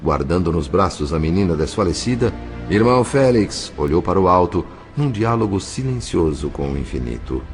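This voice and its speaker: A man's voice: sits low, big pitch swings, moderate pace, hardly any pauses, faint music behind. A male actor narrating solemnly and dramatically as a spirit in a Brazilian audio drama, with a Brazilian accent. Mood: solemn, tender.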